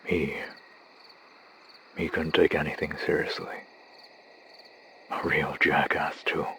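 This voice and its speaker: A man acts out a grief-worn operative in a horror animation. His voice is extremely deep and barely moves in pitch. He speaks in short, subdued phrases, with faint night noise behind him. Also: slow, gravelly, heavily acted. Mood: somber. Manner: terse, subdued, flat delivery. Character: somber, grief-worn male military operative. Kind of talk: analog horror animation acting.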